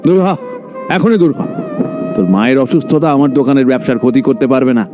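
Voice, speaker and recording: A man's voice: medium pitch, expressive, fast, music in the background, natural voice. A man voicing a greedy, heartless middle-aged shopkeeper in a cartoon story, speaking harshly and dismissively. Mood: angry, threatening.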